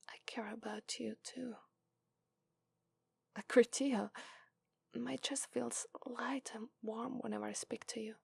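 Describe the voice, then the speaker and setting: A woman with an Italian accent voicing a gentle, lovestruck female fox spirit in a soft, whispered ASMR roleplay. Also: fairly high pitch, vocal fry, breathy edge, full character voice.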